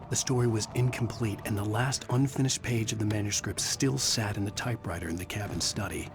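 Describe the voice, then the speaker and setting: A man voicing a haunted novelist tells his tale in a low, measured, inward voice. His tone is deep and grim. A clear game score plays behind him. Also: natural voice. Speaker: novelist protagonist. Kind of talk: brooding noir game narration.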